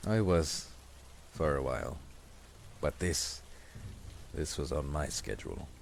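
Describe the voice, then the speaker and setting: A man's voice: lively intonation, slow, a little hiss or hum, heavily acted. A man playing a bitter, hard-drinking teenage bully with a sardonic, menacing manner in an audio drama. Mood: cold, guarded. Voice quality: deep, gravelly. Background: music.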